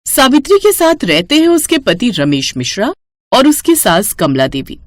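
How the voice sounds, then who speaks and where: Animated, high-pitched woman storyteller. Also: moderate pace. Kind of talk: scripted moral-story narration.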